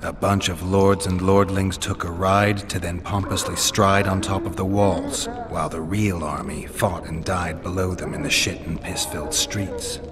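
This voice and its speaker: An actor playing a laconic, dry-witted male monster hunter in a fantasy game, terse and deadpan. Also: low-pitched, moderate pace, few pauses, noisy background, lightly acted. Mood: weary, cynical. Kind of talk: dramatic fantasy game acting.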